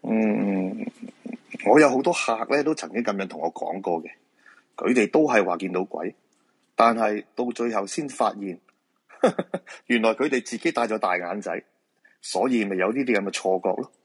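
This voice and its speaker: A man acting as a feng shui master in a radio play speaks Hong Kong Cantonese in a low, husky voice. He explains patiently and politely, as if to soothe a worried client. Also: lively intonation, normal speed. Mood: calm, reassuring.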